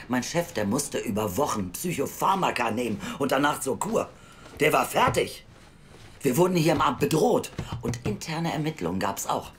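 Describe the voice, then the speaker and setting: A gruff, suspicious female official in an audio drama. She is blunt and sardonic, with a very low voice for a woman and a lively melody. There is faint ambient noise behind her. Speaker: adoption office clerk. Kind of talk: naturalistic audio drama acting.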